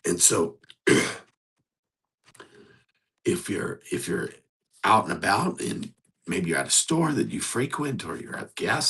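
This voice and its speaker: A hoarse man hosting a motivational coaching talk, speaking in an earnest, exhorting and conversational way. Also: mid-range voice, a bit bright. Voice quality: gravelly, husky. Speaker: host.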